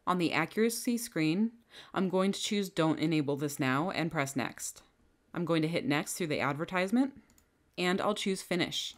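Low-voiced woman walking through software steps. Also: animated, normal speed. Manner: clear, step-by-step instructional delivery. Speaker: software trainer. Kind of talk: screen-recorded software tutorial. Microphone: headset or bench microphone.

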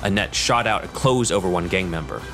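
Very deep-voiced male narrator. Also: fairly quick, music in the background. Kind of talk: scripted audio drama narration.

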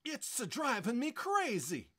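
A man's voice: very high voice, wildly swooping pitch, unhurried, fairly bright tone, pitched above the performer's own voice, full character voice. A man voicing a vain, sulky male opera singer, wailing and sputtering theatrically in a storybook reading. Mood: frantic wailing. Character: vain, sulky Italian male opera tenor, loudly indignant.

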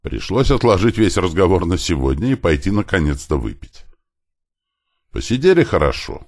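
A man's voice: sits low, very animated, moderate pace, a little mellow. A man reading a fiction audiobook, narrating a scripted first-person story with lightly voiced dialogue.

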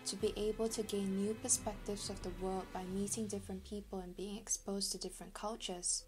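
A woman giving a scripted documentary narration in a measured, informative manner. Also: medium pitch.

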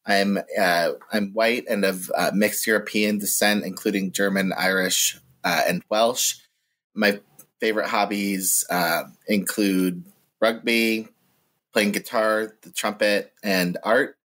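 A man from the US East Coast speaks casually as a podcast guest. His voice is fairly low and his pace is slow. He hesitates often with ums and pauses. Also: fairly even intonation, fairly bright tone. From East Coast of the United States. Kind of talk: casual self-introduction.

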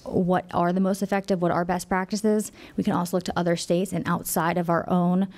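A woman in her thirties speaks into a desk microphone in a council chamber, her voice on the low side and very even in pitch. She answers questions in a measured, slightly apologetic way, pausing on fillers as she goes. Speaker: company representative. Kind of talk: public meeting presentation.

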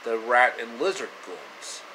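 A man in his thirties narrating a homemade story with halting delivery, recorded at arm's length in a small room. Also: mid-range voice, very animated, bright, forceful tone, slight background noise, rough recording. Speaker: storyteller, video creator. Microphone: phone or webcam at arm's length.

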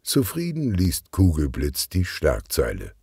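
Gravelly low-voiced male storyteller. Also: unhurried, no room sound, studio quality. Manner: expressive, clearly articulated storytelling. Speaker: narrator. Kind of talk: scripted audiobook narration of a children's detective story.

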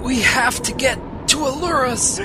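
A woman's voice: sits high, unhurried, over music. A woman playing a wounded, desperate adventurer who struggles to speak, in an audio drama. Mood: strained, urgent.

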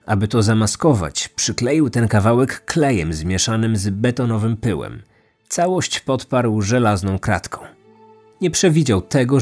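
A man's voice: medium pitch, a little mellow. Man narrating true crime over music. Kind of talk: scripted documentary narration.

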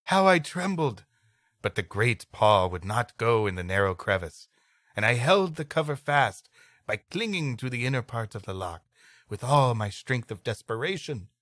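A man's voice: mid-range voice, big pitch swings, moderate pace, a bit bright, dry recording, studio quality. A man co-hosting a comedy podcast, reading an old story dramatically and trading comic banter.

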